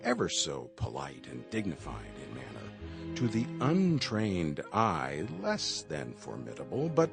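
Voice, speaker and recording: An actor playing a wry, mock-suspense host narrator in a radio play, speaking in an arch, dramatic, emphatic manner. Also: male voice, rumbling low, huge pitch swings, unhurried, gravelly, music in the background, exaggerated voice. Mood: dramatic, droll.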